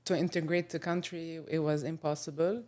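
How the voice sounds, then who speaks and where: A middle-aged woman from Lebanon, guest on an interview podcast, speaking thoughtfully with many pauses and fillers. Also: low voice, little pitch movement, mellow. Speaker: guest photographer. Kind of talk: casual interview conversation.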